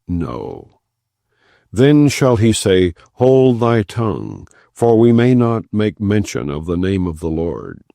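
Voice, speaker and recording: A man narrating an audiobook of scripture in a solemn, measured, declamatory manner, without dramatizing the characters. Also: sits low, dark tone, no echo, clean studio sound.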